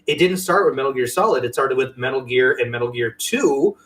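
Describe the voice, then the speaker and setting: A man's voice: mid-range voice, animated, a little fast, a bit bright. A man co-hosting a podcast, talking casually with earnest, emphatic fan conviction. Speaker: podcast co-host. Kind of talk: casual chat.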